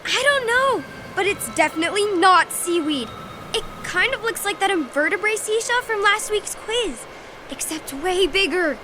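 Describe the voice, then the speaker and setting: A woman voicing a curious, excitable young schoolgirl, eager to discover things, in a children's audio drama. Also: very high-pitched, normal speed. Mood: excited curiosity. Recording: noisy outdoor ambience behind.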